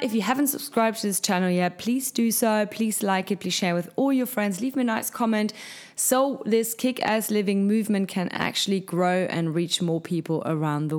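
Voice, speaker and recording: A woman hosting a casual solo podcast, her voice husky from lack of sleep, talking in an upbeat, encouraging, motivational way. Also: mid-range voice. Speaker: podcast host. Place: hot top-floor apartment.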